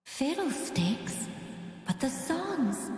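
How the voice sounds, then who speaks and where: An actress voicing a serene, graceful female musician mage in a video game, with a calm, soothing, theatrical delivery and long reverb. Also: sits high, extremely slow, full character voice. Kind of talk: video game character voice lines. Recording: echoing reverb over music.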